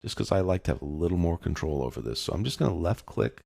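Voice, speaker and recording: A man talks through a software lesson in a very low voice. There is plenty of fry in it, and the sound is dry and close. He explains each step informally, as an instructor would. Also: very animated, fast, no echo. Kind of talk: step-by-step screencast lesson, casual.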